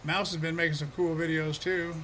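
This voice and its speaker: A man chats to his live-stream viewers in an easygoing, chuckling way as he plays. His voice sits a little high and sounds bright, though his throat is froggy and a bit hoarse. A faint hiss runs under the desk mic. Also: flat delivery, brisk. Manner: easygoing, chuckling, talks to chat by name. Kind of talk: casual live-stream chat while playing a farming game.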